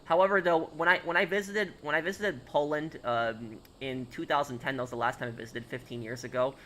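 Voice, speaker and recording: A man's voice: on the high side, fairly even intonation, fairly quick, pushed and bright, slight background noise, low-quality recording. American man chatting on a live stream. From United States. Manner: reads out and answers chat questions, many fillers and restarts. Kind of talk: casual live-stream chat.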